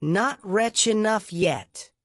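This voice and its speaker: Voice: medium pitch, slow pace, a bit bright, no room sound, studio quality, voice pushed up. A narrator voicing a vengeful little female sparrow in a storybook, stern and taunting in archaic speech.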